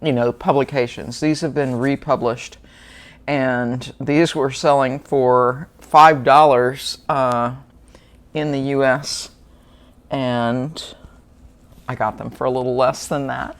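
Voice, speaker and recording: A woman's voice: rumbling low, fairly even intonation, slow pace. A woman, hosting a book channel and chatting casually through an unboxing with fillers and small laughs. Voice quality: slightly gravelly.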